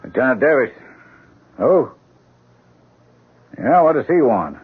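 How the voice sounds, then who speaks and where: A man's voice: medium pitch, sing-song, slow pace, phone-quality sound. A man playing a skeptical, world-weary police lieutenant in a radio drama, questioning brusquely with exasperation. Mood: skeptical, impatient. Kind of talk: old-time radio drama acting. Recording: thin, hissy 1970s radio broadcast.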